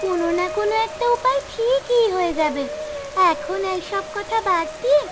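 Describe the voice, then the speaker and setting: A woman's voice: very high-pitched, lively intonation, moderate pace. A woman voicing a devoted, stubborn little village girl with a childlike, insistent manner in a Bengali cartoon fairy tale. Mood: eager, reassuring. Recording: rain noise behind the voice.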